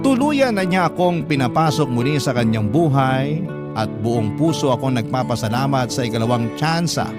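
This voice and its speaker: Casting: male actor as devoted letter writer narrating his romance, mid-pitched, sentimental pauses, loud guitar bed. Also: lightly acted. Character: devoted man recounting his love story as a letter writer. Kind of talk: dramatic first-person radio narration. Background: prominent plucked guitar music.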